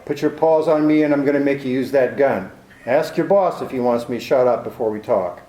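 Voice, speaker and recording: An actor playing a tough private detective in a 1940s-style radio play, clipped and sardonic. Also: male voice, mid-range voice, brisk, warm tone, roomy sound, lightly acted, poor audio.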